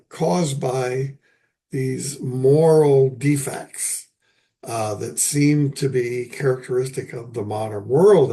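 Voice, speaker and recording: An older man hosting a poetry lecture, his voice hoarse and raspy after an illness, talking haltingly with many pauses and fillers. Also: mid-range voice, dark tone.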